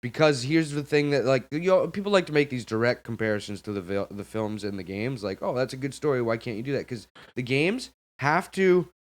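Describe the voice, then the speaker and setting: A man's voice: medium pitch, brisk, hardly any pauses. Blunt, sweary man with a mid-range voice. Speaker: gaming podcast co-host. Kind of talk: casual podcast banter.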